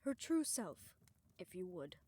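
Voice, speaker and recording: A woman playing a remorseful scientist in an intimate audio drama, speaking softly and haltingly as if confessing. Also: on the low side, big pitch swings, slightly rough, heavily acted. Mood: guilty, bitter. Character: remorseful female scientist haunted by her past experiments. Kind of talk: soft, intimate audio roleplay acting.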